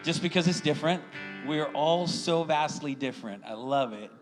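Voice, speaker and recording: Folksy American pastor over soft guitar. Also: male voice, fairly high pitch, expressive, moderate pace, poor audio. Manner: folksy, encouraging, checks in with "right?". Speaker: church pastor welcoming the congregation. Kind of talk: informal sermon and church announcements.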